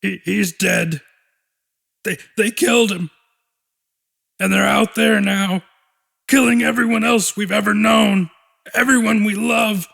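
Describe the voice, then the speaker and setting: A man's voice: high voice, steady tone, slow pace, creaky, pauses often, a bit bright, voice pushed up, heavily acted. A man voicing a small young monster boy in an audiobook, earnest and frightened but resolute, prone to stammering. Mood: grim, urgent.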